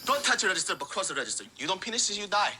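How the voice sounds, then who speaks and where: A woman's voice: mid-range voice, big pitch swings, rapid-fire, pushed and bright, a little hiss or hum. A woman putting on a bossy male shop boss with an exaggerated fake accent, heard a little off mic.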